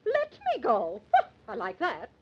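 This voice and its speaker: An actress playing a haughty, indignant small-town matron in old-time radio drama style, imperious and scolding. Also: female voice, a little high, wildly swooping pitch, a little slow, breathy edge, dull audio, full character voice. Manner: imperious, scolding, easily affronted.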